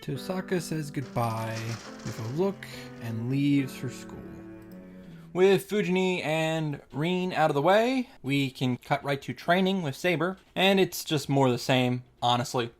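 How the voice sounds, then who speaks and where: A woman's voice: low voice, animated, unhurried, faint music behind. A woman giving casual, sarcastic commentary on a visual novel, reading along and dropping profane asides. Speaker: visual novel reaction host.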